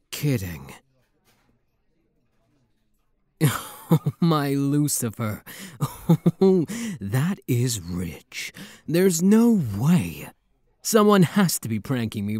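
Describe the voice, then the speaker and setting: Guy doing a flirty demon prince for asmr roleplay, slow talker but really expressive, playful teasing, dry sound. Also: mid-range voice, dry recording. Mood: amused, disbelieving. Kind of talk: intimate ASMR roleplay audio.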